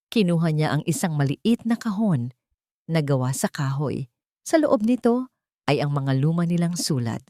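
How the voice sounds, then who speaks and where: Low-pitched woman narrating a dramatic story. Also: a little mellow, no echo, clean studio sound. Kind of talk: scripted dramatic audio story narration in Tagalog.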